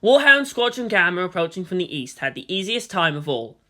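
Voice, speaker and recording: A woman's voice: lowish voice, very animated, brisk, a bit bright. A woman reading fan fiction aloud as a dramatic, scripted story narration.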